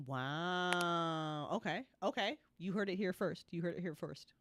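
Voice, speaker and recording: A woman's voice: on the low side, unhurried, fairly bright tone. A woman hosting a casual after-show chat, playful and gushing, repeating phrases for emphasis as she questions her guests. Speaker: host and show creator.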